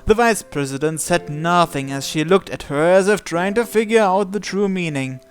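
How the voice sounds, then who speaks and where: A game narrator translating and reading visual novel text aloud, with some hesitant pauses. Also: medium pitch. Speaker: video game playthrough narrator. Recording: game music behind the voice. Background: visual novel music and Japanese voice acting.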